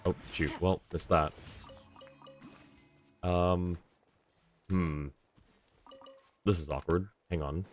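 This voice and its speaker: A man's voice: sits low, fairly even intonation, extremely slow, long pauses, soft background music. A man streaming a game live, chatting casually and rambling as he thinks aloud. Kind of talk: casual livestream chat.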